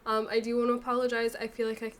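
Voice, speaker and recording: A woman's voice: fairly high pitch, completely flat delivery, very fast. A young woman giving rambling, casual gameplay commentary, a bit nasal and still getting over a cold. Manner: rambling, chatty, lots of fillers. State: recovering from a cold, slightly nasal. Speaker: gaming YouTuber.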